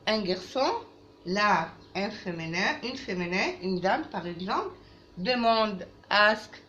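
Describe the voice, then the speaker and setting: Woman, language teacher, didactic, repetitive, slow delivery, lively intonation, dull roomy recording with faint noise. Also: medium pitch. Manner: didactic, repeats phrases, switches into halting English. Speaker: French teacher. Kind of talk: language lesson for children, with repetition.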